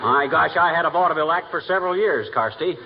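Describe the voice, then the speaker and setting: An actor playing a cocky, lazy small-town American husband in a 1940s radio comedy, folksy, slangy and boastful. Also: male voice, on the high side, expressive, quick pace. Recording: noisy, muffled 1940s radio recording.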